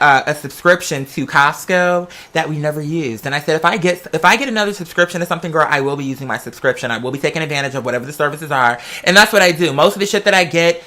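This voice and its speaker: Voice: mid-range voice, sharp tone. An American video creator chatting casually and rambling, with a sassy, campy, theatrical way of talking full of drag slang. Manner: rambling, digressive. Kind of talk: casual chatty vlog monologue.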